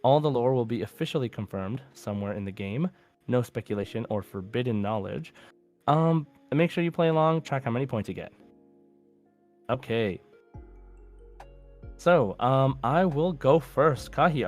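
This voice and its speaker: A man hosts a casual quiz podcast in a conversational voice of medium pitch. He plays quizmaster and speaks deliberately when reading things out. Faint music plays behind him. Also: expressive. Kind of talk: casual hosting of a quiz show.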